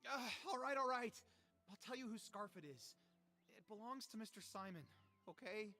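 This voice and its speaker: A man's voice: sits high, expressive, moderate pace, sharp tone, long reverb tail, soft background music. An actor playing a sheepish, eager young male columnist who stammers out excuses in a theatrical video game style. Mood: panicked, pleading. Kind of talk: animated video game voice acting.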